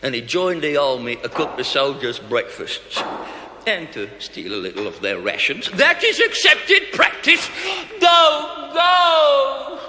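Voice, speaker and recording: An actor playing a bitter, sardonic dying army cook in a theatrical television drama, ranting, mocking and self-pitying, swinging from shouts to mutters. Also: male voice, very high voice, exaggerated voice. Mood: indignant. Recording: echoey reverberant hall, noisy old TV recording.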